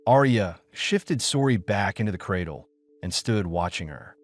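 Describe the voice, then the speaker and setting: Deep male audiobook narrator, expressive pitch. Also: normal speed. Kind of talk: scripted story narration.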